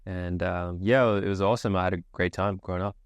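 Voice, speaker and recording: A young man from Sri Lanka answers interview questions in a relaxed, casual way, often restarting his sentences. His voice sits very low and comes across smooth and resonant. The recording is dry and close, with no room sound. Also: brisk, no room sound. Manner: easygoing, casual, many fillers and restarts. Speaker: guest, agency founder.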